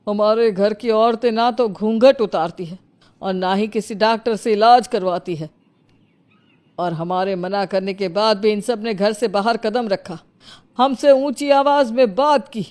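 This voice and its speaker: A woman's voice: mid-range voice, unhurried, a little mellow, close to an everyday voice. A woman voicing an indignant, rigidly traditional village man in a cartoon morality story. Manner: accusing, formal.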